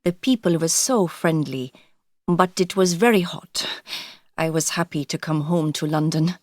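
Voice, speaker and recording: A woman from India, raised in England, answering interview questions in a scripted textbook dialogue, clear and explanatory. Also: sits low, a little slow, no echo, studio quality.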